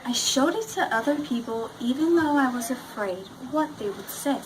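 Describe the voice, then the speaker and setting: A woman's voice: sits high, expressive, moderate pace. A woman teacher leading an interactive read-aloud lesson, questioning and prompting her pupils in a school classroom. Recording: noisy classroom, clear background noise.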